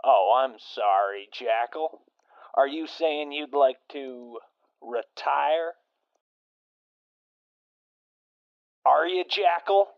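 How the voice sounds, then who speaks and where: A man's voice: medium pitch, lively intonation, slow pace, gravelly, no room sound. A man playing a cocky, menacing raider boss who taunts and boasts, in dull lo-fi sound.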